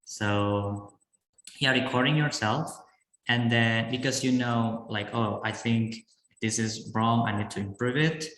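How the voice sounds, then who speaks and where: A man's voice: mid-range voice, fairly even intonation, fairly bright tone. Indonesian man in an echoey room. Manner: halting, frequent fillers and restarts. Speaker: guest speaker answering questions. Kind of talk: live online class Q&A.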